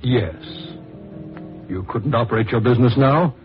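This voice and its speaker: An actor playing a cold, scheming doctor and blackmailer with clipped, formal old-time radio delivery. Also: male voice, mid-range voice, normal speed, faint music behind, close to an everyday voice. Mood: cold, calculating. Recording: dull vintage radio recording.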